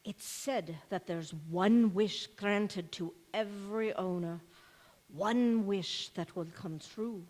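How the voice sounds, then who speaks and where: A woman's voice: mid-range voice, slow pace, a little mellow. Woman playing dour old maidservant, echoey hall. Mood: solemn, mysterious. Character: old Scottish maidservant and former nanny, dour and devoted. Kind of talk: golden age radio drama acting. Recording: echoey church hall stage recording.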